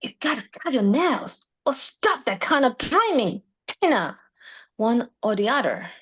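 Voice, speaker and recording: A woman's voice: fairly high pitch, sing-song, unhurried, fairly bright tone, breathy edge, exaggerated voice. A woman playing a worn, blunt mother of a teenage girl in a table read, dry and scolding.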